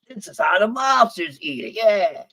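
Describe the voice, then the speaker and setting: A man's voice: high voice, animated, normal speed, bright, forceful tone. Rambling, cussing Philly man. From Philadelphia. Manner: profane, rambling, with many restarts. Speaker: stream guest. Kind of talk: casual chat.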